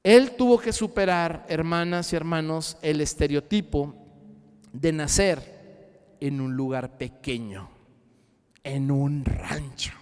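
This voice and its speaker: A man preaching a sermon as pastor, swinging between loud rising cries and hushed low asides. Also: slow, smooth, big-room sound. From Mexico. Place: church sanctuary.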